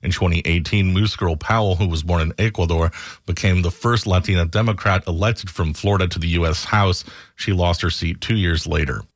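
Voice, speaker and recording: Low-voiced American man reading news. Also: fairly even intonation, a little fast, no echo, clean studio sound. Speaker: radio news anchor. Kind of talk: scripted news headline reading.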